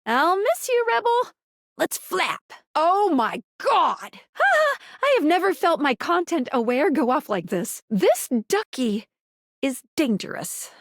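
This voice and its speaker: High, swooping, excitable game guide. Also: female voice, slow pace, no echo, studio quality, full character voice. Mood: excited. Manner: exclamatory, dramatic, bubbly. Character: excitable, chirpy female guide giving theatrical warnings. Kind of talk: video game character acting.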